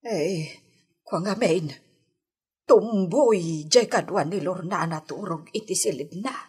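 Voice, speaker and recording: A woman's voice: fairly low pitch, takes it slowly, a little mellow, breathy edge, exaggerated voice. An actress playing a suspicious, fretful mother in an Ilocano radio drama. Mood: worried. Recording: noisy old radio recording.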